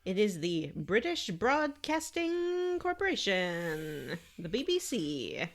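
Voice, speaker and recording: A woman's voice: medium pitch, big pitch swings, slow pace. A woman co-hosting a casual podcast, chatting and reading out gaming news. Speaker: podcast co-host.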